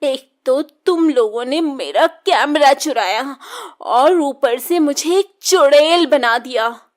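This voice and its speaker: A woman voicing a vengeful, mocking young witch in a children's cartoon, threatening and taunting with drawn-out words. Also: high-pitched. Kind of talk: children's cartoon horror-comedy voice acting.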